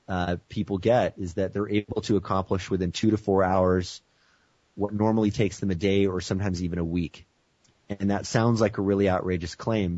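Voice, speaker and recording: An American man as a guest on a teleconference interview, speaking with enthusiastic sales-pitch energy and frequent "you know". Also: a little mellow, no room sound, lo-fi sound.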